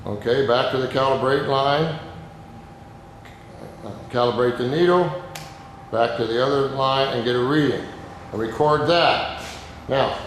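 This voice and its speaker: A man giving an informal, plain-spoken, step-by-step hands-on demonstration as an instructor. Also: medium pitch. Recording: very echoey reverberant room, background noise.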